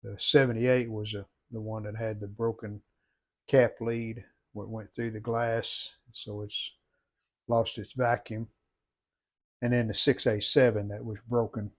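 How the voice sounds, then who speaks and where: A man's voice: fairly low pitch, little pitch movement, measured pace. A middle-aged man giving unscripted how-to commentary at a workbench in a plain, folksy, matter-of-fact way. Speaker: hobbyist repair vlogger. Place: indoor home workshop at a workbench.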